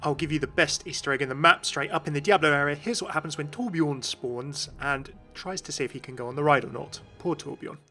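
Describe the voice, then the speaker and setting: Man, bright forward voice, mid pitch, informal explanatory game commentary, faint music behind. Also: a little fast, hardly any pauses. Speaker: video narrator and game commentator.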